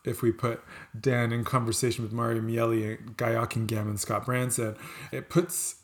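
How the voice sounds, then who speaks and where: A man with an average-pitched voice leads a book discussion. He talks in a reflective, academic way, hedging often. He builds a long, careful question and checks in with tag questions as he goes. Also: level tone, moderate pace. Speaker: interviewer, moderator.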